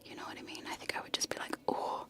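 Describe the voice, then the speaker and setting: A young woman whispering close to sensitive microphones in a casual, giggly ASMR chat with trigger sounds, often poking fun at herself. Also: fast talker. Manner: soft whisper, giggly and self-deprecating. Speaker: ASMR streamer.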